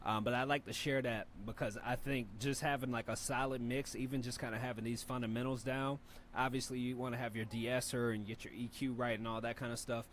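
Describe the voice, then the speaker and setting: A man with a deep voice hosting a rap review show, giving loose, rambling feedback with lots of fillers and the odd curse. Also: little pitch movement, a little fast, fairly bright tone, slight background noise. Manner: laid-back, rambling, profane, lots of fillers. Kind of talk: casual spoken feedback and mixing tips.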